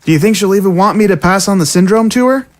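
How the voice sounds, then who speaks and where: A woman's voice: mid-range voice, quick pace, a little hiss or hum, natural voice. A woman voicing a curious, matter-of-fact little zombie boy who talks bluntly and casually in an audio drama.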